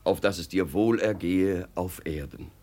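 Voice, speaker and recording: An actor playing a kindly, moralizing village pastor with an unctuous preaching manner, in 1950s German radio drama style. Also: male voice, low voice, darkish tone. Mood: pious, gentle. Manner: unctuous, measured preaching with hesitations.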